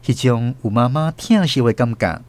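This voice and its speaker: A man with a Taiwanese accent reading scripted storybook narration for a radio drama, expressive and reminiscing. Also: medium pitch, normal speed. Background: music.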